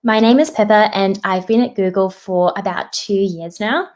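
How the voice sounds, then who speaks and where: A woman's voice: medium pitch, normal speed, a little mellow. Chatty young New Zealand woman. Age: 20s. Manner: upbeat, chatty, lots of "like". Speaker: digital strategist presenter. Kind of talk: virtual careers session talk.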